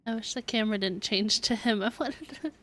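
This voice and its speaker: A woman streaming a game in a mid-range voice. She reacts casually and thinks out loud in a chatty, informal way. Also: mid-range voice, brisk. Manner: chatty, musing aloud. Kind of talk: casual live gameplay commentary.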